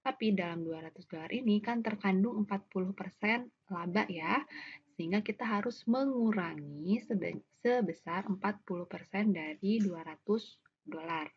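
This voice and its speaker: A woman's voice: medium pitch, normal speed. A woman with an Indonesian accent giving a step-by-step accounting lesson as a lecturer, in a didactic way, often posing questions and answering them herself. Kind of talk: explanatory lesson, walking through worked examples. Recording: dull lo-fi audio with clear background noise.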